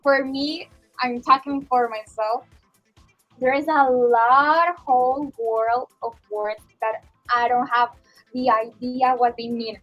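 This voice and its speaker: Earnest high-voiced woman co-host. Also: fairly even intonation, slow pace, highs cut off. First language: Spanish. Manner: earnest, encouraging, repeats "I mean". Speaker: student co-host. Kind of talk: casual radio chat. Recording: school radio studio with music bed.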